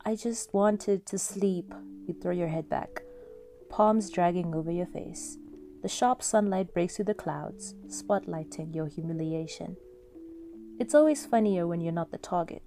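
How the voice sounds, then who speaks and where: A woman's voice: on the low side, a little slow, warm tone. A woman reading scripted second-person story narration expressively, as the narrator of an audio fan fiction. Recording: music under the voice.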